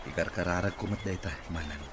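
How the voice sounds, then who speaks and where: An actor with an Ilocano accent playing an earnest, grateful boss who is a recovering patient, in a radio drama. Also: male voice, deep voice, steady tone, quick pace, natural voice. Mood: weary, hopeful. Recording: noisy radio drama recording.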